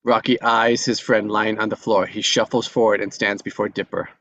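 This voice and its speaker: A man reading the stage directions at a live script table read, steady and measured. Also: on the low side, no echo.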